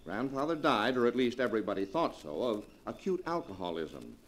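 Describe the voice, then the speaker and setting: A man's voice: medium pitch, big pitch swings, brisk, close to an everyday voice. A man acting a suave, sardonic husband from a sinister family in a 1940s radio drama, theatrical and mockingly casual. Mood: sardonic, amused.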